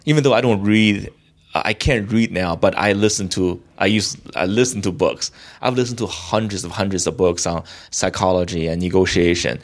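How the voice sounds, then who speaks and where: A young man from China, a guest in an interview, talking in a halting way with many restarts and fillers. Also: low-pitched. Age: young adult. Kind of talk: casual interview talk.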